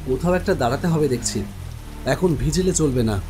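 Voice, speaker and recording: A man's voice: medium pitch, animated, moderate pace, rough recording. A man giving dramatic first-person narration of a horror story. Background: music.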